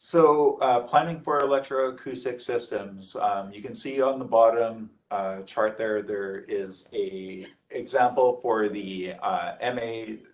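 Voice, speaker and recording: Low-voiced man giving halting technical training, slightly distant. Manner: halting, hesitant delivery with frequent pauses. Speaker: trainer presenting product training. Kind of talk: informal technical lecture over slides.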